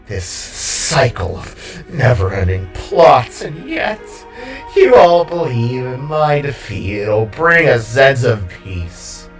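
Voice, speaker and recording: A man voicing a defeated villain king in an audio drama, bitter and gleefully unhinged, with a groggy, drawn-out, rambling delivery. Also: mid-range voice, big pitch swings, recorded from a distance. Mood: mocking, groggy. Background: music.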